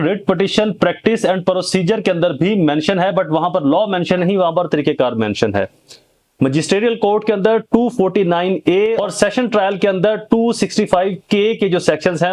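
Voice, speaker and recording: A man with a Pakistani accent and a somewhat high voice speaks as an advocate explaining law to viewers. His delivery is informal but emphatic, with rhetorical questions and English legal terms mixed into his speech. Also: darkish tone. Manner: emphatic, poses rhetorical questions and answers them.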